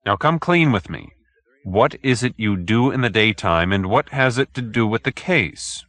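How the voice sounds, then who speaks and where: Man playing a low-voiced, skeptical private detective. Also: animated, moderate pace, a bit bright, no room sound. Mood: annoyed. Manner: blunt, probing, dry.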